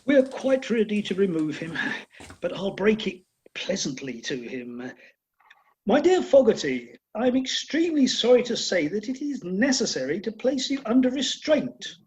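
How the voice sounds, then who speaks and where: A performer playing a courteous, apologetic gentleman breaking bad news, formal and genteel, in a comic Victorian play. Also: medium pitch, big pitch swings, moderate pace, lightly acted, poor audio.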